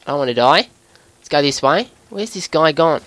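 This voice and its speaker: High, animated Australian gamer bloke put on by a man. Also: normal speed, no echo. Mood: excited, exasperated. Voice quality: voice pushed higher by the accent. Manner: excitable, sweary, breaking into giggles at himself. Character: excitable Australian bloke gamer, jokey larrikin. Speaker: gaming video commentator. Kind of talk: live gameplay commentary.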